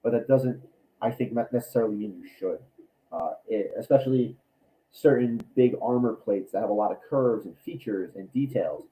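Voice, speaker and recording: A man with a raspy voice from allergies, chatting casually on a livestream about his builds, rambling with many ums and restarts. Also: fairly low pitch, normal speed, mellow, low-quality recording. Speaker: cosplay maker and YouTuber.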